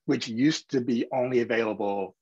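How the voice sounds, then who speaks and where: A man's voice: mid-range voice, moderate pace, darkish tone, slightly rough, dry recording. A man moderating a webinar panel, affirming and steering the discussion. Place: room indoors. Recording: dull lo-fi video call audio.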